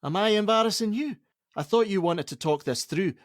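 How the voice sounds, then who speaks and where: A man's voice: sits high, expressive, fairly quick, dry recording, clean studio sound. An actor playing a defensive, argumentative middle-aged father in a naturalistic radio play, sarcastic and given to swearing. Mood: cruel sarcasm. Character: angry, defensive, domineering father of a pregnant teenage girl.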